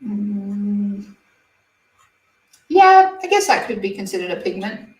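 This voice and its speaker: A woman's voice: mid-range voice, very animated, takes it slowly. A woman, chatting casually on a beauty livestream and reading viewer comments aloud with giggles. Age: 20s. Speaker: beauty channel host. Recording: roomy, slightly distant mic.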